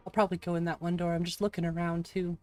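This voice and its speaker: A streamer with a slightly raspy voice chats easily with viewers, reacting aloud and answering questions between moments of play. The recording is close and dry, with faint music underneath. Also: medium pitch, flat delivery, rapid-fire, a little mellow, no room sound. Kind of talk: casual live-stream chat while gaming. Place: home room.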